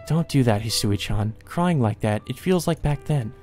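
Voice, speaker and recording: A man voicing a gentle young maid in a visual novel, speaking softly with wistful resignation. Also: medium pitch, expressive, normal speed, faint music behind, close to an everyday voice. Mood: gently soothing.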